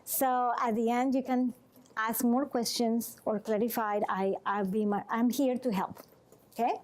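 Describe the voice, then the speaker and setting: A woman from Venezuela speaks with a Venezuelan Spanish accent, her voice sitting a little high. She gives an informal health talk to a group as a massage therapist. Her phrasing is earnest and halting, often pausing to check in with the room. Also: steady tone.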